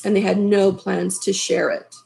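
A middle-aged woman reading a children's story aloud, pausing for asides to her listeners. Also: medium pitch, monotone, vocal fry, a little mellow. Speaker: storybook reader. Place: small furnished room at home.

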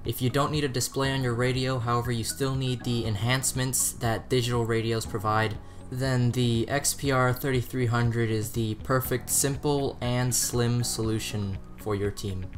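A man's voice: medium pitch, a bit bright. A man presenting a scripted product review as narration. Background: background music.

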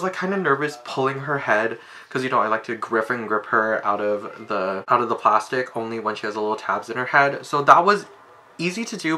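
A young man casually reviewing a collector doll in his bedroom, chatty and full of fillers, with sudden excited jumps in pitch. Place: bedroom, indoors. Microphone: webcam framing at desk.

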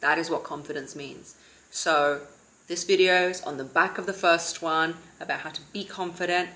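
A woman in her thirties gives relaxed advice from a sofa at home, her voice low and settled. She holds a calm, steady, near-monotone delivery, talking to a camera set a little way off. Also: normal speed, low-quality recording. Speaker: vlogger and confidence coach. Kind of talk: casual advice vlog to camera. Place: living room, seated on a sofa.

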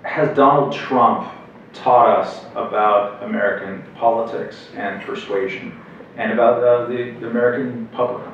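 A man's voice: medium pitch, fairly even intonation, a little slow, a bit bright, slight background noise. A young man moderating a convention Q&A, asking questions hesitantly with many fillers. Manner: hesitant, many ums and uhs. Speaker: interviewer, moderator. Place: hotel meeting room at a convention. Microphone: table mic on a stand, heard from far. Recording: echoey room, distant, dull sound.

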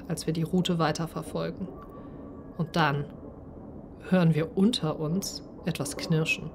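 A woman narrating a first-person story in an expressive, dramatised way. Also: on the low side, noisy background. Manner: expressive, acts out the character's speech. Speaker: narrator and player of a solo role-playing game.

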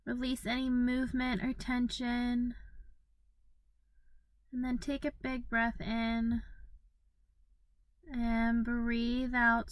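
A woman leading a guided meditation in a gentle, soothing manner, with pauses between phrases. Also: on the high side, no room sound, poor audio.

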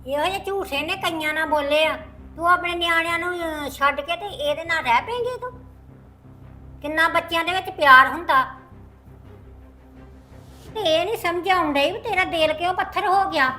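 A woman's voice: very high voice, moderate pace, long pauses, sharp tone, faint music behind. A woman playing an elderly mother in a family drama, plaintive and imploring, speaking. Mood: pleading, sorrowful. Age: older. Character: pleading, scolding elderly mother of a wayward son.

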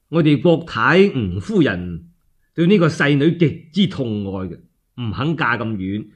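A man voicing a smooth, persuasive matchmaking envoy with a Cantonese accent, courteous and emphatic, in a radio story. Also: medium pitch.

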